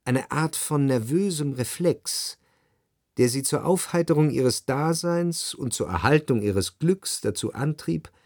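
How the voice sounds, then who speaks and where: An actor playing a reflective literary narrator in a radio drama, articulate and contemplative. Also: male voice, fairly high pitch, animated, unhurried, a little mellow. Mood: reflective, analytical.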